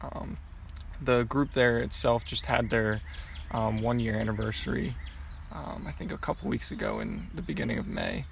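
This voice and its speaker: A man's voice: mid-range voice, big pitch swings, moderate pace, creaky, a little hiss or hum. A young man from Pennsylvania, answering interview questions in a loose, rambling way with many ums and restarts. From Pennsylvania, USA. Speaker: guest.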